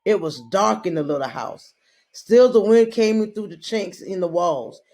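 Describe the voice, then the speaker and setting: A woman reading a children's story aloud in a dramatic, deliberate way, drawing out her phrases. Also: mid-range voice. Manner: deliberate, expressive, drawn-out phrasing.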